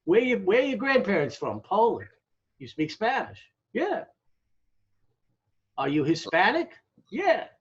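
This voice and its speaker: Higher-voiced American man explaining emphatically. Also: huge pitch swings, measured pace, long pauses. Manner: emphatic, didactic, poses rhetorical questions and checks with "okay?". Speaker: academic interviewee, demographer. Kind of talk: conversational explanatory interview. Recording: dull, lo-fi audio.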